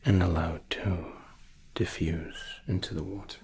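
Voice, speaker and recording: A man softly explaining spa treatments in an ASMR roleplay, whispery and breathy, with a gentle, reassuring, attentive manner. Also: sits low, fairly even intonation, unhurried, a little hiss or hum. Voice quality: whispery, breathy, soft-spoken.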